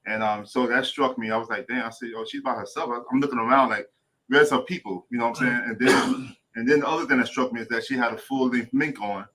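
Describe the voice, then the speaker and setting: A male talk show host in a radio studio, telling an animated anecdote with casual slang into a studio mic. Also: medium pitch, quick pace, noisy background. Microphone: studio mic on boom arm.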